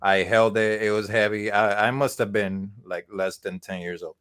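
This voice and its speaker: A man's voice: fairly low pitch, fairly even intonation, moderate pace, fairly bright tone, clean tone. A man in his forties, from Puerto Rico, telling a casual story as a podcast guest, rambling with fillers and restarts.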